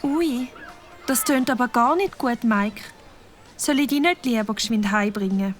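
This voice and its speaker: A woman voicing a caring, motherly fox lady in a cartoon, speaking gently. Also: a little high, unhurried, faint music behind, natural voice. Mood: concerned.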